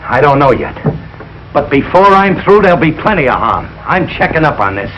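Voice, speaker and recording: A blustering, suspicious working-class dad in an old radio sitcom. His fairly high voice swings widely as he makes emphatic exclamations. The dull recording has clear noise over it. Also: sing-song, brisk. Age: middle-aged. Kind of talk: 1940s radio situation comedy acting.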